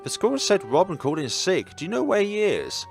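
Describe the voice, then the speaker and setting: Man putting on a high-voiced earnest teenage girl. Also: very animated, a little fast, gravelly, faint music behind, exaggerated voice. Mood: concerned.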